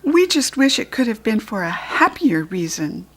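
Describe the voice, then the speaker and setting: A woman's voice: on the high side, big pitch swings, moderate pace, fairly bright tone. A woman voicing a sweet little female cupcake fairy who speaks sincerely.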